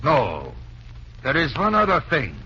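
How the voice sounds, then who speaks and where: A crisp, forceful male villain from an old radio play, a Nazi agent posing as a doctor. He speaks formally and precisely, with cool menace, through a muffled, noisy vintage recording. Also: on the high side, wildly swooping pitch, a little slow, heavily acted. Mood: smooth menace. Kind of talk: 1940s radio drama acting.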